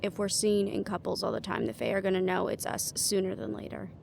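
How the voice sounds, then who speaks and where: A woman's voice: mid-range voice, lively intonation, brisk, vocal fry, darkish tone, slight background noise. A woman playing a witty, confident young female adventurer in a playful, conversational audio roleplay. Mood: planning, confident. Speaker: amateur voice actor. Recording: music behind the voice.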